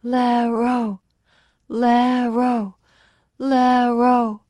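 Woman as fervent chanting townsfolk, raised pitch. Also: little pitch movement, mellow, no room sound, studio quality. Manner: raised, chanting, drawn-out calls. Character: fervent crowd of citizens chanting and calling out.

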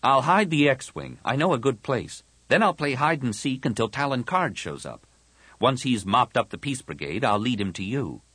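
A man's voice: medium pitch, very animated, normal speed, fairly bright tone, slightly rough, no room sound, pitched above the performer's own voice. A man voicing an earnest, slightly awkward teenage boy hero in an audiobook. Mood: resolved. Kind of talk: audiobook character dialogue.

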